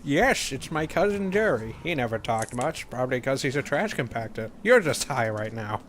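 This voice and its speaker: A man co-commentating in casual, joking banter with halting, rambling riffs. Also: medium pitch, very animated, fairly bright tone. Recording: faintly noisy home gaming recording.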